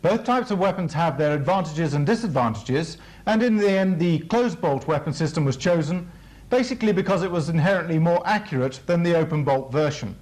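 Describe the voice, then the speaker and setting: A British man with a notably high voice delivers a formal military briefing. He explains things in a measured way, as a training film presenter working from a script. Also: lively intonation, darkish tone, rough recording.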